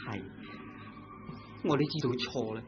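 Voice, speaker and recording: A man's voice: medium pitch, a little slow, lightly acted. A man with a Hong Kong Cantonese accent, playing a timid, philandering young painter who stammers and hesitates in a vintage radio drama. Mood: remorseful. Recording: lo-fi old radio recording with music.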